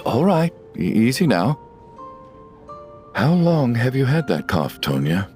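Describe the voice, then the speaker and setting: Game casting: gruff but tender middle-aged dad, slow and hesitant, faint music beneath. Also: male voice, mid-range voice, very animated. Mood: concerned. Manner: halting, hesitant, stammering. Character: awkward gruff middle-aged father with a soft heart.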